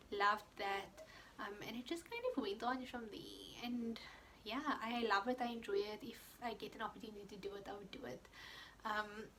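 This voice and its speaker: A woman's voice: on the high side, slight background noise, poor audio. A young woman chatting casually as she answers viewer questions in her vlog, full of fillers and quoted asides. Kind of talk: casual chat.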